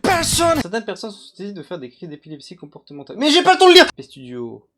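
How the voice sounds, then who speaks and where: A man's voice: high voice, huge pitch swings, fast talker, a bit bright. A man giving casual, joking and crude gameplay commentary, rambling and often laughing. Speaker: gaming YouTuber. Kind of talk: casual let's-play commentary. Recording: game music playing under the voice. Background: game music.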